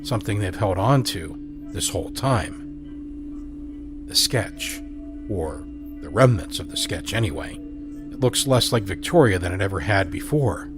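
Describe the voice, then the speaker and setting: A man narrating an audio drama with a slow, soft, measured reading. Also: low-pitched, animated. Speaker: narrator and host. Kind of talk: scripted narration. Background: music score.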